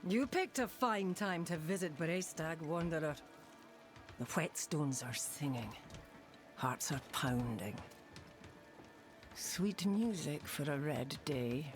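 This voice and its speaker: A woman playing a tough, sardonic village warrior in a video game, with a wry, blunt, deliberate way of talking. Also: low-pitched, animated, faint music behind. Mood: grim relish. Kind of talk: dramatic video game dialogue.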